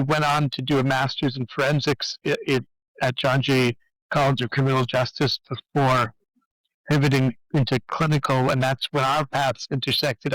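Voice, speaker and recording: A man's voice: fairly high pitch, fairly bright tone, dry recording, rough recording. A man in his fifties from Canada, chatting in a friendly way as an interview guest. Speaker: guest clinical psychologist. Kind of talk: interview conversation.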